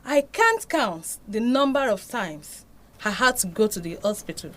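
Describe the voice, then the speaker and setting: A woman's voice: mid-range voice, big pitch swings, measured pace. A woman playing an anguished, devout Nigerian mother confessing a painful past in an audio drama. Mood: sorrowful confession.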